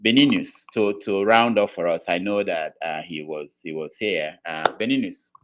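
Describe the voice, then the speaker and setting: A man's voice: deep voice, moderate pace, highs cut off, noisy background. A man hosting a casual talk show, thanking his guests and handing over to the next speaker. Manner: listens with steady mm backchannels, thanks guests. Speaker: host.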